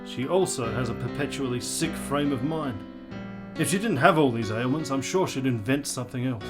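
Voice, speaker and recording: A man's voice: mid-range voice, moderate pace, over music, close to an everyday voice. A man playing a blustery, condescending army colonel in a period radio drama, with a pompous, florid delivery. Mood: dry scorn.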